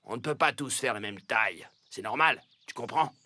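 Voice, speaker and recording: A man voicing a blunt, sensible farm dog with a gruff, matter-of-fact manner in a children's cartoon fable. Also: mid-range voice, animated, normal speed, bright, forceful tone, no echo. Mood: firm reassurance.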